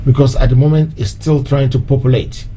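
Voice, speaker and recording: A man's voice: mid-range voice, fairly even intonation, brisk, dark tone, slight background noise. A man hosting a live-stream show, talking in a loose, repetitive way and slipping in short Yoruba phrases. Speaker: host of an online activist show. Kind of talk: casual live-stream address.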